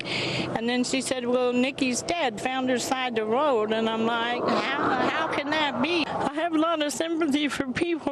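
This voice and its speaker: A woman's voice: high voice, background noise. A devastated middle-aged woman giving an unscripted, grieving personal account in an interview. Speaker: victim's mother giving news interview.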